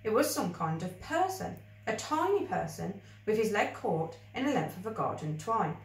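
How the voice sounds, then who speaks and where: A woman reading a children's story aloud in an expressive, scripted storybook style. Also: medium pitch, normal speed. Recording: echoey room, distant mic.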